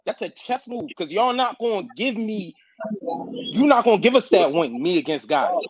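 Confident male guest, high and lively, on a dull line with crowd noise behind. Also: moderate pace. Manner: confident, argumentative. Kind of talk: casual chat.

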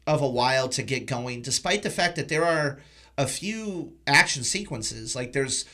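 A man's voice: medium pitch, lively intonation, normal speed, pushed and bright. A man hosting a podcast in a casual movie review chat, emphatic and repeating phrases for stress. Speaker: podcast host.

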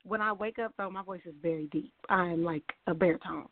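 A woman's voice: fairly low pitch, fairly quick, dry recording. A woman from California, a guest singer, chatting casually and laughing easily as she tells stories about her life. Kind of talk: casual interview chat. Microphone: phone call. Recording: tinny phone line.

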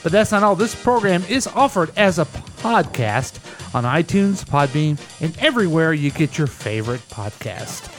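A man's voice: a little high, very animated, moderate pace, soft background music. A man hosting a casual comedic radio talk show, rambling and correcting himself as he goes.

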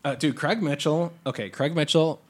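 A man co-hosting a casual podcast, sniffly from allergies, excitable and swearing freely. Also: fairly high pitch, moderate pace. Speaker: podcast co-host. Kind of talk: casual wrestling chat. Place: Chicago area.

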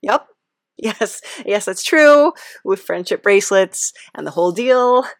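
Woman host, casual comedy interview. Medium pitch, slow halting delivery, giggly, dry close sound. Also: animated, no room sound.

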